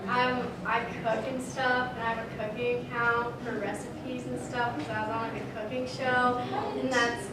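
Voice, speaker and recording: A woman's voice: on the high side, little pitch movement, moderate pace, very noisy. A young adult woman chatting casually as a panelist at a convention. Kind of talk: casual chat. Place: large indoor convention panel room. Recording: distant, very echoey, loud crowd.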